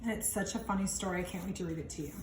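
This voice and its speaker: A woman reads a picture book aloud to children in an animated way, stretching words for emphasis and adding chatty, wondering asides. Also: lowish voice, racing. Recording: echoey room with some background noise.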